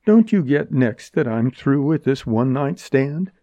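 Storybook role: male reader as brassy, wisecracking young woman, pitched well up, defiant and sneering. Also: animated, unhurried, dark tone, no echo, lo-fi sound, lightly acted. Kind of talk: dramatized audiobook dialogue.

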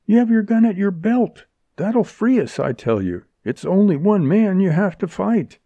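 A man's voice: high-pitched, animated, measured pace, creaky, dark tone, no room sound, dull audio, pitched above the performer's own voice, heavily acted. A man voicing a fiery, desperate young woman who pleads urgently, in an audiobook. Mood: frantic. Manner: urgent, imploring.

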